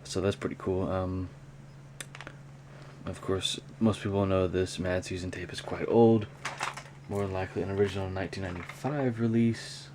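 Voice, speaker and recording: A man talking casually and unscripted in a hobby show-and-tell vlog, with a rambling, halting delivery. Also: sits low, little pitch movement. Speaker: hobby vlogger, cassette collector. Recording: clear background noise.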